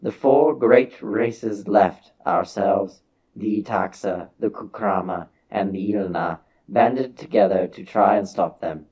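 A man with a husky voice giving a solemn, measured, theatrical reading of a science fiction story as its narrator. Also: lowish voice, darkish tone, highs cut off.

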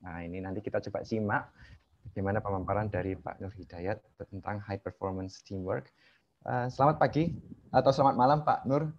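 A man's voice: lowish voice, a little mellow. A man politely moderating an online lecture in an informal way, speaking through a headset on a video call. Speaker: moderator of a webinar. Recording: narrow, dull video-call audio.

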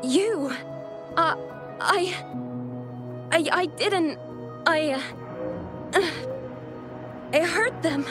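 Stammering, very high-voiced young painter. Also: female voice, drawn-out words, pauses often, over music, exaggerated voice. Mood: distraught, guilty. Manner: stammering, fragmented. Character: shaken, guilt-ridden young painter, faltering. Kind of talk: video game voice acting.

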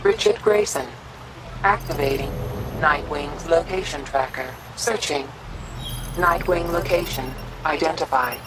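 A woman voicing a calm, impersonal computer assistant in a video game, narrating in a measured, clinical way. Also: deep voice, noisy background. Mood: impersonal, informative.

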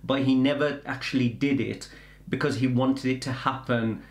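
A middle-aged man giving a casual film trailer review in halting, rambling explanations. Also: mid-range voice, fairly even intonation. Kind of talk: casual reaction chat. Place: indoor room with bookshelves. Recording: echoey indoor room.

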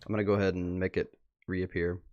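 A man's voice: deep voice, little pitch movement, fast, a little mellow, lo-fi sound. A man hosting a casual livestream, chatting and muttering asides to himself as he goes through posts at his computer. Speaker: livestream host. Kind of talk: casual livestream commentary, reading posts aloud. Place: indoors at a computer.

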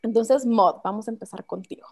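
A woman's voice: a little high, a little fast, a little mellow, husky. A woman hosting a school video-call show, friendly and upbeat as she introduces the topic and asks questions. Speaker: host. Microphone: laptop mic on a video call.

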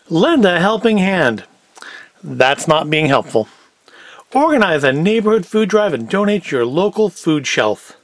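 High-pitched, animated man with wry delivery. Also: dry recording. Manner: wry, sarcastic asides. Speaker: host of a food review show. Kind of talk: casual unscripted product review commentary.

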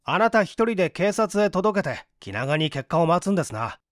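A man's voice: sits high, very animated, moderate pace, dry recording, studio quality. A man voicing a hungry, penniless young man, weary but courteous, coaxing and grumbling politely in a dramatised story reading. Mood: resigned, grumbling.

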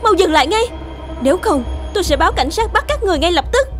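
A woman's voice: extremely high pitch, expressive, quick pace, faint music behind. A woman voicing a protective, firm young mother in a cartoon, speaking earnestly and emphatically. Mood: defiant. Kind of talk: cartoon voice acting.

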